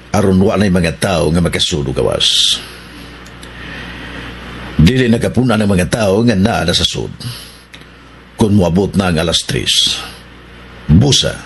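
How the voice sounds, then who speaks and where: Deep, animated robbery schemer. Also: male voice, slight background noise, full character voice. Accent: Filipino. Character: commanding schemer laying out a bank robbery plan. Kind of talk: Filipino radio action drama acting. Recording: clear music bed behind voice.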